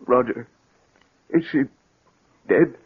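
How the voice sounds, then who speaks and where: An actor playing a lovesick, possessive wealthy husband in a 1940s radio drama, pleading and melodramatic. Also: male voice, medium pitch, lively intonation, slow. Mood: numb, stunned. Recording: muffled, husky old 1940s radio recording.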